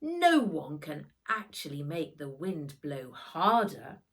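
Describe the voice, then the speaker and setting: An excited young boy, played by a woman reading aloud from a children's storybook. The delivery is eager and bouncy, with pitch that swings up and down freely. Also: slow pace, heavily acted. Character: excited, boisterous young boy on a snowy farm.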